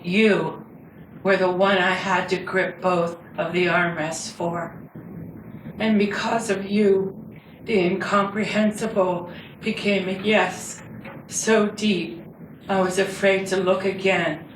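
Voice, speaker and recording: A woman reading her own poetry aloud live, with measured, deliberate and dramatic phrasing. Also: medium pitch, steady tone. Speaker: poet reading own work. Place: indoor reading before a group. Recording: roomy, distant mic with background noise.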